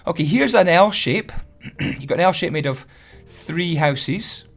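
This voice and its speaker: Hoarse man, fairly high voice, chatty and self-deprecating; casual tutorial host over faint music. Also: lively intonation, unhurried. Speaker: Minecraft building tutorial host.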